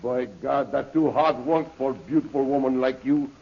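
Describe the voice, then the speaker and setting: Gravelly, husky French henchman. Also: mid-range voice, normal speed. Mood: fawning. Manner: broken English, oath-peppered, fawning. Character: jealous, flattering French henchman in love with his boss. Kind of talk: 1930s radio serial acting. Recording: muffled old-time radio recording.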